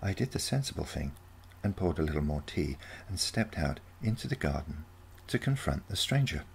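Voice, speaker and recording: A man's voice: a bass voice, big pitch swings, moderate pace, vocal fry, slight background noise. A man reading a short story aloud as scripted narration. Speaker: story reader.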